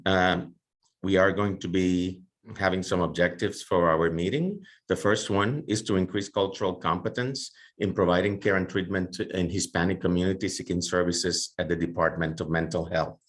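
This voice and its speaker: A man speaking English with a Puerto Rican Spanish accent, a native Spanish speaker. He presents as a psychiatrist in a calm, formal way. His voice sits very low. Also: moderate pace. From Puerto Rico. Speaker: psychiatrist and medical director.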